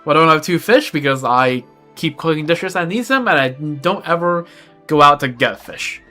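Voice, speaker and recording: A man's voice: on the high side, expressive, normal speed, pushed and bright, faint music behind. A young man chatting casually while playing a game on a livestream, rambling with lots of restarts and self-deprecating asides. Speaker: streamer. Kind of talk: casual gameplay livestream chat.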